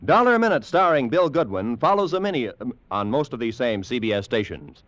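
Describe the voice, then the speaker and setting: A man's voice: medium pitch, big pitch swings, a little fast, a bit bright, no room sound. American man, 1940s network announcer, formal scripted read, very inflected, dull old radio recording. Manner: polished, formal announcer delivery. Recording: muffled vintage radio recording.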